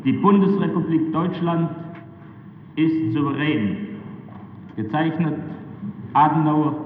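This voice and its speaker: A man's voice: on the high side, slow pace. A man reading a formal public proclamation in declamatory, separated phrases. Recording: dull archive recording, echoey hall, distant, noisy.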